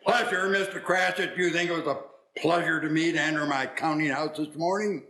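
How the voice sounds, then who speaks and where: An actor playing a reformed old miser uncle in a radio play, speaking formally and haltingly. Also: male voice, on the high side, pushed and bright. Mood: mock stern. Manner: formal, halting with repeated words.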